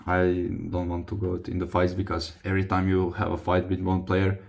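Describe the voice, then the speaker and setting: Low, creaky young man with Croatian accent. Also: completely flat delivery, a little fast, a little mellow. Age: young adult. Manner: hesitant, searching for English words. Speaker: vlogger, football player. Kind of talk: casual confessional chat to camera.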